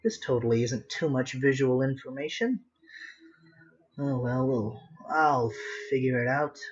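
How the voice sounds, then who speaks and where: Slow-talking woman, casual and musing. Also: rumbling low, a little mellow, highs cut off. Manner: musing to self, unhurried. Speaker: artist hosting a live drawing session. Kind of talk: casual chat while sketching.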